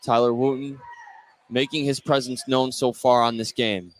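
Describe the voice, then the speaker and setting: A male play-by-play announcer calls a live basketball game for radio. His voice sits at an average pitch, with a steady layer of gymnasium noise behind it. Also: moderate pace. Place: indoor school basketball gym. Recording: noisy courtside gym broadcast. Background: clear gym noise.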